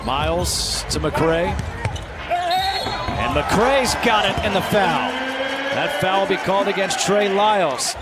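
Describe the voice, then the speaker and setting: A man's voice: high-pitched, sing-song, takes it slowly, pauses often. A man calling live basketball play-by-play in short, clipped phrases that rise on big plays. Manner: clipped running calls, rising on big plays. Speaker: play-by-play announcer. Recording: arena crowd and court noise behind commentary. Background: busy basketball arena, bouncing ball.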